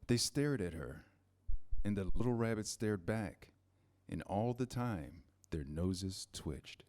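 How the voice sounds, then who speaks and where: A man reading scripted storybook narration in a measured, storytelling manner. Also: on the low side, animated, darkish tone.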